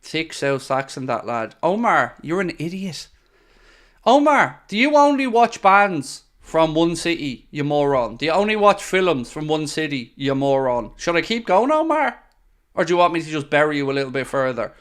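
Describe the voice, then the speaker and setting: A man's voice: on the high side, big pitch swings, normal speed, a bit bright. A man hosting a live football watchalong, ranting in exasperated, sarcastic reaction to his team's play. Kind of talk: live match commentary and reaction.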